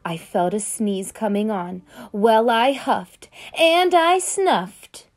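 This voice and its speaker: A woman voicing a sly, smooth-talking male wolf who tells his side of the story in a chatty, conspiratorial way, read aloud from a children's picture book. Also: on the high side, takes it slowly, faint music behind.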